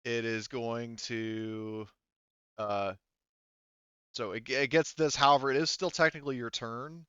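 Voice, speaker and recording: A man working as game master, narrating a tabletop roleplaying game casually and haltingly as he thinks aloud over the dice. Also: medium pitch, fairly even intonation, bright, forceful tone, smooth, no echo. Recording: slightly muffled dry voice recording.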